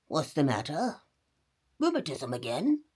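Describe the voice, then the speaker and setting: A woman voicing a male possum doctor in a storybook, brisk, questioning and emphatic. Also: low-pitched, wildly swooping pitch, darkish tone, slightly rough, pitched below the performer's own voice, exaggerated voice. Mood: puzzled.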